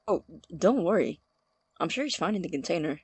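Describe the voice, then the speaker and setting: An actor playing an evasive, pedantic young student experimenter, explaining in halting, formal phrases in a naturalistic audio drama. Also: mid-range voice, lively intonation, slightly rough, dry recording. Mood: evasive, defensive.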